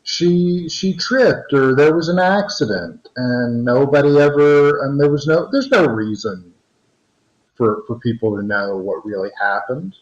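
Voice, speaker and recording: A man's voice: mid-range voice, a little slow. A man co-hosting a casual podcast discussion, rambling with frequent restarts and fillers. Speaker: podcast co-host. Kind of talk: casual discussion over a call. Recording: roomy, dull lo-fi call audio.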